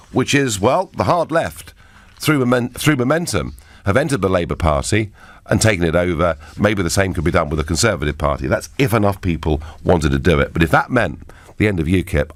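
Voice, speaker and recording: A middle-aged man fronts a radio phone-in, his fairly low voice leaping around as he makes his points. He sounds emphatic and opinionated, tossing in wry asides. The studio sound is close and dry. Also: dry recording. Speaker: radio talk show host.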